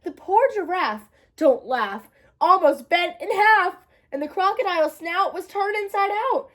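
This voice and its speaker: Lady doing a whiny pleading storybook bear, pretty high, really animated. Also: unhurried, heavily acted. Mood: plaintive protest. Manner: plaintive, protesting.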